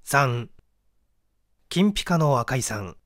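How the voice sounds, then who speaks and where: Expressive man narrating, lively pitch. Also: takes it slowly. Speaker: audiobook narrator, stage actor. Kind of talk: scripted mystery novel narration.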